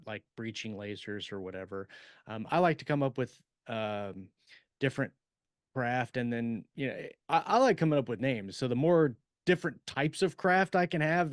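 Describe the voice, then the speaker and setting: A man talks as a podcast co-host in a very dry, close recording. His delivery is casual and halting, rambling through explanations with plenty of examples, at an average pitch for a man. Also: smooth, dry recording. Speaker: podcast co-host and science fiction writer. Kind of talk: casual chat about writing.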